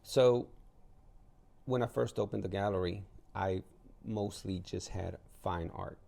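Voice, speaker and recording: A man from El Salvador speaks as a guest in a casual interview. His voice is very low and mellow, with a lot of gravelly fry. He explains things informally, in anecdotes. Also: unhurried. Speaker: interview guest, artist and gallery owner.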